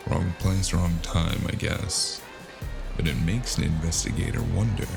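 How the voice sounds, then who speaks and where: A man playing a weary, cynical private detective in a brooding, deliberate noir audio drama monologue. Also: deep voice, heavily acted. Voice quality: gravelly. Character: weary, cynical hard-drinking male private detective in a gritty city. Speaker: audio drama narrator and lead actor. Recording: music underneath. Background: music.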